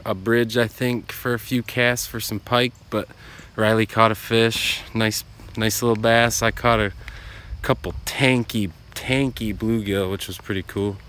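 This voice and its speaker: A young man filming a casual fishing vlog outdoors by a pond, talking in a laid-back way with excited bursts when a fish bites. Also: on the low side, measured pace. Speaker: fishing vlogger.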